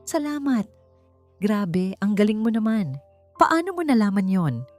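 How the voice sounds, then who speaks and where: A woman voicing a sweet, determined young lady with a gentle, friendly manner in an audio love story. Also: medium pitch, very animated, unhurried, faint music behind, voice pushed up. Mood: grateful, admiring.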